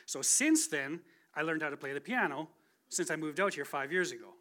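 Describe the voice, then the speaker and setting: Guy in his 40s preaching, kinda high bright voice, chatty and self-deprecating. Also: lively intonation, moderate pace. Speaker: guest speaker. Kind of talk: conversational sermon testimony. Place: church sanctuary.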